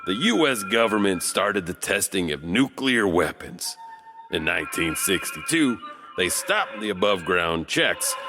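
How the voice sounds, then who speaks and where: A man hosts as a mysterious janitor and guides listeners through creepy tales. He speaks slowly and drawls, his low voice rising and falling widely. Music plays behind him. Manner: slow, drawling, with eerie chuckles. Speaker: janitor narrator and host. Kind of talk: spooky scripted horror narration.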